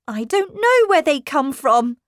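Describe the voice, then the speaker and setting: An anxious young governess in a storybook tale, as a woman narrator plays her, pitched very high and swooping with emphasis. She is insistent and impatient, easily horrified, and urgent in her delivery. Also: very animated, a little slow, dry recording, studio quality. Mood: fearful, urgent.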